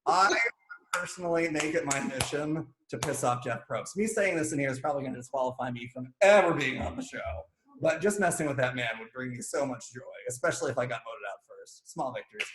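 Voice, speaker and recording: A man co-hosting a casual fan chat show, talking in an animated, jokey, rambling way. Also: mid-range voice, a little fast, roomy sound, background noise. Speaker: podcast co-host.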